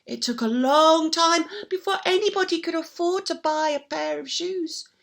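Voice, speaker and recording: A woman telling a children's story in a theatrical, interactive way, with playful repeated sound words and drawn-out emphasis. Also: very high-pitched, creaky, a bit bright. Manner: invites listeners to join in.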